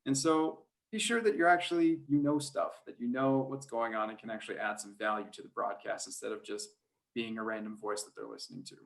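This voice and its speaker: A man's voice: fairly low pitch, a little fast, gravelly. Chatty man in a casual interview. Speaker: guest, sports play-by-play announcer.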